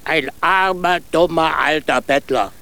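An actor playing a poor, humble old beggar in a radio play, gravelly and resigned. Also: male voice, a little high, pushed and bright. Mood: weary, humble. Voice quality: gravelly, creaky. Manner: slow, resigned, plain-spoken.

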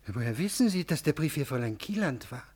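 Radio drama role: humble, frail little man in his forties, slightly high-pitched, hesitant, repeats himself nervously. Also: very animated, quick pace, darkish tone. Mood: earnest. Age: 40s. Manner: stammering, hesitant, repeating words, deferential. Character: timid, servile, frail man of forty-three, humble town fool. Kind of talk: German radio drama acting.

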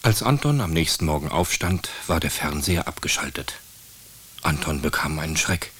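A man's voice: very deep voice, animated, moderate pace, fairly bright tone, a little hiss or hum. A man narrating a scripted children's story as the storyteller of a radio drama.

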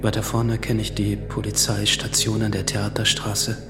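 An actor playing a solitary, reflective last man on earth in a radio drama, brooding and measured, with music behind him. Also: on the low side, completely flat delivery, close to an everyday voice. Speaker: actor narrating a radio play. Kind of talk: literary radio drama monologue.

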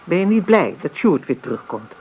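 A woman's voice: on the low side, big pitch swings, a little fast, a little mellow, husky, full character voice. An actress playing a middle-aged farmer's wife in a Dutch radio play, motherly, chiding and keeping the peace. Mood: exasperated, coaxing. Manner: chiding, placating. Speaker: actor. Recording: faintly noisy radio drama recording.

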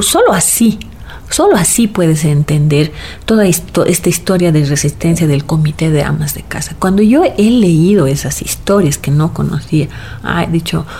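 A woman's voice: on the low side, warm tone. A woman with a Bolivian accent giving reflective personal testimony in an emphatic way, repeating phrases and checking for agreement. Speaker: interviewee from a mining community. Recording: clear background noise.

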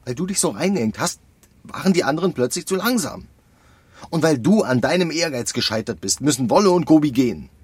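Ein Schauspieler spielt in einem Hörspiel einen ruppigen, aufgebrachten Kollegen mit rauer Stimme, der direkt und vorwurfsvoll redet. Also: male voice, fairly high pitch, animated, brisk, no echo. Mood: angry, accusing. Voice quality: husky, rough. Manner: reproachful, blunt. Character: gruff exasperated male colleague scolding a young postwoman. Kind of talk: radio drama acting.

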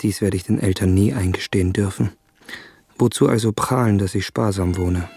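A man's voice: low voice, moderate pace, creaky, mellow, breathy edge, no room sound, faint music behind, full character voice. An actor playing an observant, uneasy young traveller of minor nobility, speaking his inner thoughts in a radio drama. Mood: self-reproachful. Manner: reflective, terse observations. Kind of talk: interior monologue in literary radio drama.

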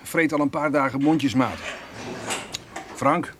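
An actor playing a gruff, impatient dairy farmer with a brusque, commanding manner in a Dutch radio play. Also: male voice, medium pitch, measured pace, fairly bright tone, slight background noise, lightly acted. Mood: relieved, businesslike.

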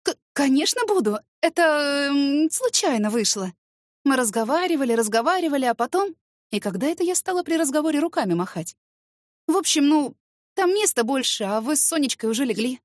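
A woman voicing a feisty but tender mother in an audiobook, expressive and easily flustered. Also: sits high, fairly quick, no echo, clean studio sound, voice pushed up. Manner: expressive, exclamatory, stammering when flustered.

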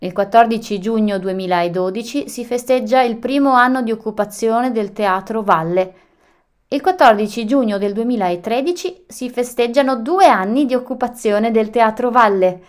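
A woman radio presenter reading out a scripted list of dates and anniversaries. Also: fairly high pitch.

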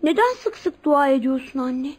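Very high-voiced timid schoolboy. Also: female voice, expressive, moderate pace, a little mellow, rough recording. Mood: obedient, curious. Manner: well-behaved, earnest. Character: timid, polite, obedient young schoolboy. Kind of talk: radio theater acting.

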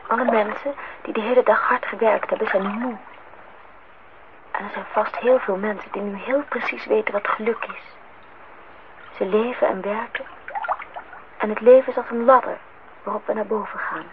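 Actress as a gentle dreamy woman, tinny noisy recording. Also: medium pitch, steady tone, normal speed, pauses often, natural voice. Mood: tender, earnest. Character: gentle, dreamy, candid woman telling a parable. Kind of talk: Dutch radio drama acting. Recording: tinny, band-limited, noisy old radio recording.

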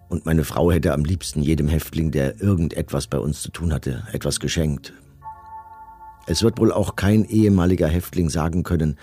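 A man playing a Nazi camp commandant in a documentary radio drama, giving testimony in a measured, matter-of-fact way. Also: low voice, dark tone, slightly rough. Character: male Nazi camp commandant coldly recounting his service.

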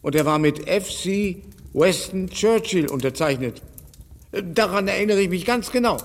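A man's voice: high-pitched, animated, normal speed, in a large hall. An actor playing an anxious, fussy elderly father who worries and rambles in a radio play. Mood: fretful. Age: older.

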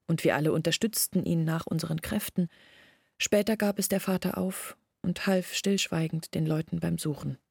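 A woman's voice: lowish voice, gravelly, a little mellow, husky, no room sound, studio quality. An actress playing a troubled young village woman who recounts her family's downfall as a first-person story in a radio play. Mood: grave recollection.

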